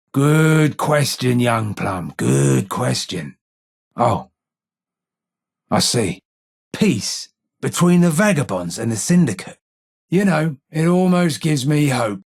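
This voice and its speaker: Husky, gravelly old London informer. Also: male voice, a little high, exaggerated voice. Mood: thoughtful. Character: blind old male London gang informer, weary and guilt-ridden. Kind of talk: video game character dialogue.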